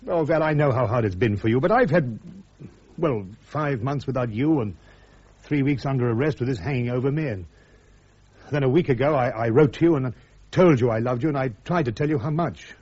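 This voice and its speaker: An actor with a British accent playing a proud, wounded army officer and husband in a radio drama, earnest and clipped. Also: male voice, medium pitch, a little fast, a little mellow, husky, lightly acted. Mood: pleading, hurt. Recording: dull, lo-fi radio drama recording.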